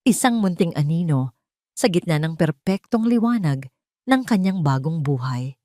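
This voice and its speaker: A woman tells a dramatic story in a scripted, deliberate way. Her voice sits on the low side, and the sound is dry and close. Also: animated, dark tone, no echo. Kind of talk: scripted audio drama narration.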